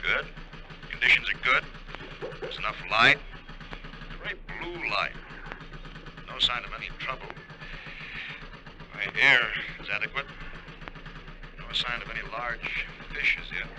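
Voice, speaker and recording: An actor playing a steady, focused deep-sea diver, reporting in clipped, halting phrases in 1950s radio drama style. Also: male voice. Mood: businesslike. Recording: noisy vintage radio recording, diving ambience.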